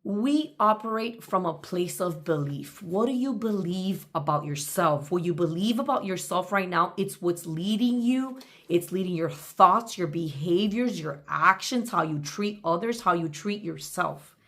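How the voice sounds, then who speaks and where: A woman's voice: on the low side, expressive, a bit bright. Woman giving an emphatic motivational talk. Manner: emphatic, deliberate, speaks directly to the listener. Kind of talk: motivational talk with reading from own journal.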